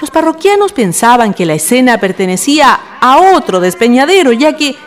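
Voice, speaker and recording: A woman's voice: high-pitched, big pitch swings, normal speed. A woman with a Chilean accent giving scripted narration for a radio drama.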